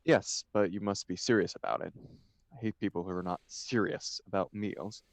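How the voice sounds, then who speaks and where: Man acting a witty young dandy, lowish pitch, dry sound, flippant, theatrical, stage comedy. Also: fairly even intonation, moderate pace, vocal fry, no room sound. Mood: breezy, witty. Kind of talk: table read of a stage comedy.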